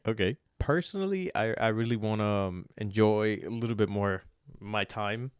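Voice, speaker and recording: A man's voice: fairly low pitch, moderate pace, smooth, dry recording, studio quality. A man co-hosting a podcast in casual, rambling chat. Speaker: podcast co-host. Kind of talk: casual chat about personal goals and video games.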